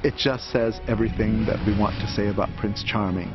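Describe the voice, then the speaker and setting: A man's voice: on the low side, steady tone, fairly quick. A man giving an interview as a costume designer, recounting his work. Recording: dull sound under clear music.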